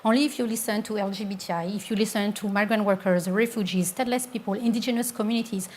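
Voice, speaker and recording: Woman panelist from France, earnest and insistent, mid-pitched voice. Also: steady tone, quick pace, a little mellow. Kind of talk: panel discussion.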